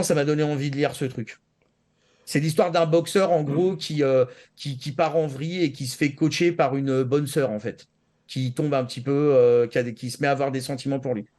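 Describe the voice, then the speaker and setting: A man's voice: medium pitch, warm tone. A man chatting casually and giving his opinions as a panelist, rambling and often restarting his sentences. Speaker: panelist on an anime show. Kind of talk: casual chat giving opinions on manga and anime.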